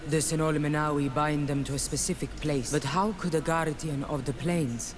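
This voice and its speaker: A performer playing a solemn, reverent native guide in a video game, with deliberate, stilted phrasing. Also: mid-range voice, fairly even intonation, background noise, lightly acted.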